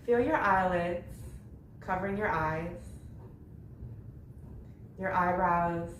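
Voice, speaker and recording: A woman leading a guided yoga meditation, speaking gently in short, unhurried phrases with long pauses between them. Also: fairly low pitch. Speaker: yoga nidra meditation instructor. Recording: roomy echo with faint background noise.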